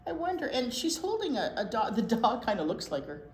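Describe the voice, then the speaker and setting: A woman reading a picture book aloud to young children in an expressive storytime style, pausing to wonder aloud. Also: a little high. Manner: animated, pauses to wonder aloud and question young listeners. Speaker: children's storytime reader at a library. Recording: roomy echo with clear background noise.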